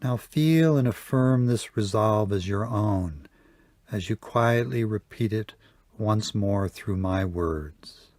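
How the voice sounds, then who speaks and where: A man leading a guided yoga nidra meditation, speaking softly and calmly with long pauses. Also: on the low side, no room sound, clean studio sound. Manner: slow, soft, calm guiding with long pauses. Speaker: meditation teacher.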